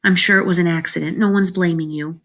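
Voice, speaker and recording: A woman's voice: lowish voice, fairly even intonation, fast talker, fairly bright tone. A woman voicing a fretful, emphatic mother in a children's story, by turns doting and stern. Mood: reassuring.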